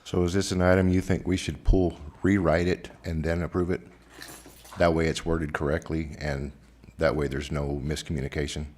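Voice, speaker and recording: A man's voice: rumbling low, flat delivery, moderate pace, darkish tone. A councilman raising an item in a plain, direct way at a council meeting. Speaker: council member. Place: council chamber.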